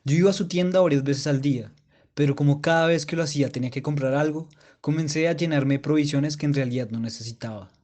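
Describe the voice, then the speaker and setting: Man playing a mellow, mature war veteran. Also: on the high side, quick pace, natural voice. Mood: wistful reminiscing. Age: middle-aged. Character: mature German war veteran reminiscing over beer. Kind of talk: radio theatre storytelling.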